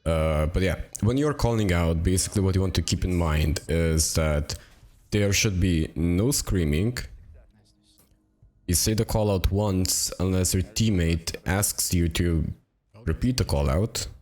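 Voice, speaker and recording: A young man explaining a game tactic in a relaxed, rambling way, sounding raspy and low on energy from a cold. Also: a little slow. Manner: relaxed and rambling, with many fillers. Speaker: gaming video creator. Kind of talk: casual tutorial narration. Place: home apartment.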